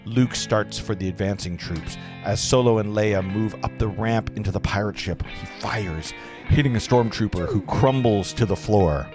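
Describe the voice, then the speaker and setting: A man's voice: on the low side, moderate pace. A man acting as narrator at a table read, reading screenplay stage directions aloud earnestly, with the odd stumble. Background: music.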